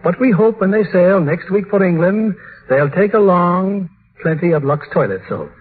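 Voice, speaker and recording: A man presents formally as a vintage radio host, reading from a script. His voice is somewhat high and raspy, and the old broadcast sounds muffled and narrow. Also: animated, moderate pace. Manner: formal announcer delivery.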